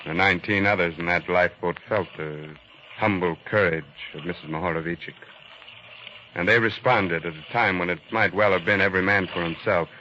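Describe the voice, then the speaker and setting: An actor playing an earnest, reflective ship's doctor, narrating solemnly and deliberately in a 1940s radio drama. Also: male voice, low-pitched, pushed and bright, slight background noise. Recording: muffled vintage 1940s radio recording.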